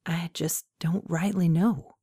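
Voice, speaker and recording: A woman narrator speaks as a mysterious young man, calm and polite. The voice is soft and slightly low, with slow, gentle phrasing in a dry storybook recording. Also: slightly rough, no room sound, exaggerated voice. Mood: mild, evasive. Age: young adult. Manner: soft-spoken, polite, unhurried.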